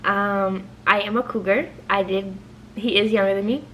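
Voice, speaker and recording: Brief: young woman vlogger, mid-pitched, loose and talkative, with a light hiss behind her. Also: fairly even intonation, moderate pace, fairly bright tone, poor audio. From New York, raised in New Jersey. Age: 20s. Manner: chatty, playful, swears freely. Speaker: channel host. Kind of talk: casual couples Q&A chat.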